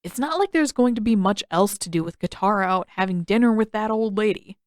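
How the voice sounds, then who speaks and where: A woman's voice: medium pitch, a little fast, dry recording, clean studio sound, close to an everyday voice. A woman voicing a vain, defensive teenage boy in an audiobook story, casual and exasperated in manner. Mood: evasive, defensive.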